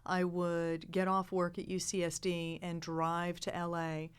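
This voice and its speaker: A middle-aged woman talks in a casual panel chat. Her voice sits a little low and is smooth and clean, and she explains things with emphasis, like a seasoned performer telling anecdotes. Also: monotone, unhurried. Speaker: improv performer and podcast actor.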